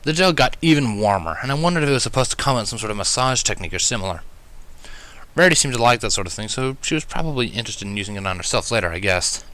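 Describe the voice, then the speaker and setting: Casting: male fan fiction narrator, very lively intonation, bright forceful tone. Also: mid-range voice, very fast. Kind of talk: expressive story narration.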